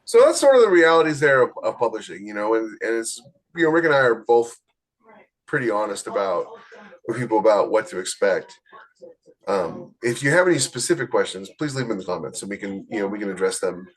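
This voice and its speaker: A man giving casual, blunt advice as a podcast host, plain-spoken, with a slightly husky voice. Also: on the low side, big pitch swings, brisk, poor audio. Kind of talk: casual advice chat about book publishing.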